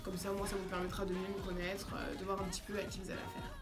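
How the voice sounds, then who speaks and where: A woman in her twenties from France, talking casually and calmly as a vlogger. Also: medium pitch, very fast, reverberant, music in the background. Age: 20s. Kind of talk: casual chat.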